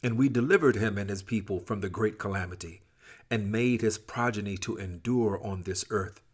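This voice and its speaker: Husky low-voiced man reading scripture. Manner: measured, expressive, formal archaic diction. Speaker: scripture reader. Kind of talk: solemn dramatic scripture reading.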